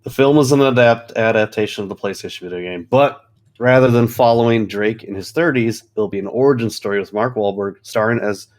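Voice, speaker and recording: Deep-voiced, joking man on a podcast. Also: a little fast. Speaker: podcast co-host. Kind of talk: casual gaming podcast chat.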